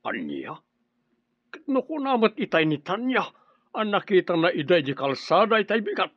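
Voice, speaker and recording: A man's voice: sits high, huge pitch swings, moderate pace, dry recording, lo-fi sound, full character voice. A man with an Ilocano accent playing an inquisitive village father in a radio drama. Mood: probing.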